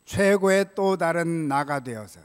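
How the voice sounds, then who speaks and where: An older man preaching a prepared sermon as a pastor, in a measured, solemn way, from a church pulpit microphone. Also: on the high side, expressive, smooth. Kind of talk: sermon, read from prepared text. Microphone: gooseneck pulpit microphone. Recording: reverberant church hall sound.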